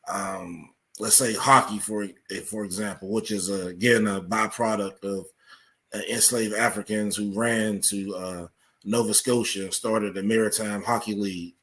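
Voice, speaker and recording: Low-pitched American man explaining as a guest. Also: bright, forceful tone. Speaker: interview guest, researcher of sports history. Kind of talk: podcast interview, explanatory.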